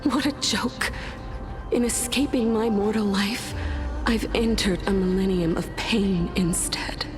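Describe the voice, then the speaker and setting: A woman playing a courteous, world-weary dead scholar in a fantasy game, with a breathy, resigned and philosophical delivery. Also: medium pitch, unhurried, close to an everyday voice. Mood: bitter, weary. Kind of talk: dubbed fantasy game dialogue. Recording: orchestral game music behind.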